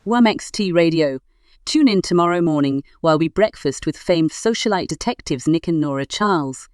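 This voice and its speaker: Casting: an actor as golden-age radio station announcer, medium pitch, dry studio sound, late-night scripted notices. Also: animated, moderate pace, clean tone, no room sound, faint music behind, natural voice. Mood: formal, showy. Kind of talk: 1940s radio acting, scripted sign-off.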